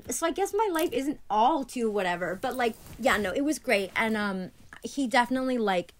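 A woman's voice: a little high, moderate pace. Young woman guest, remote call, talkative and filler-heavy, grew up speaking Greek. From Annapolis, Maryland, USA. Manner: chatty, many "like" fillers, animated when retelling. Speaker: podcast guest. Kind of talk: casual conversational interview. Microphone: remote call from home.

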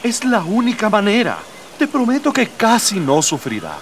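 A man's voice: sits high, wildly swooping pitch, normal speed, recorded from a distance, slight background noise, full character voice. A man playing an idealistic, exasperated rebel prince in a radio drama, speaking earnestly and emphatically. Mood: warning.

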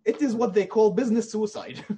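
Male indie developer, high-pitched and slightly raspy, earnest and emphatic in a conversational interview. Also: normal speed, mellow. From Middle East.

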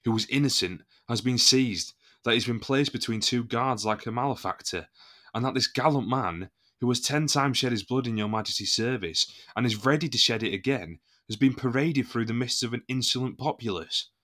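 An actor playing a proud, indignant musketeer captain in an audio drama, formal and oratorical. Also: male voice, mid-range voice, normal speed, sharp tone, close to an everyday voice.

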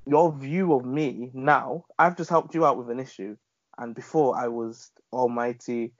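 A man's voice: mid-range voice, unhurried, dry recording. A man in a casual group discussion, telling a story and acting out the people he talks to. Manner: animated storytelling, acts out callers. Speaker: panel guest. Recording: dull lo-fi sound, dry.

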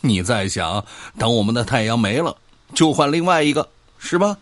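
A man's voice: medium pitch, lively intonation, normal speed, no echo, studio quality, natural voice. An actor playing an argumentative, pedantic man who scoffs and lectures his old friend in a sci-fi audio drama. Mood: scoffing, insistent.

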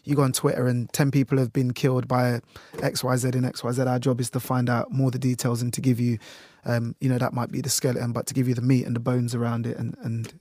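A Jamaican man in a radio presenter's easy, loose chat, with a dry sense of humour. His voice sits at a middle pitch. The recording is close and dead dry, with no room sound. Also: monotone, fast, few pauses, darkish tone, no room sound. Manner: loose, dry and chatty, with frequent fillers. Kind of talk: relaxed interview chat.

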